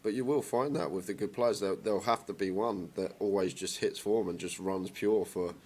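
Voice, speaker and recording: A man giving relaxed, conversational poker commentary on a live stream and greeting viewers in the chat. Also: low-pitched, normal speed.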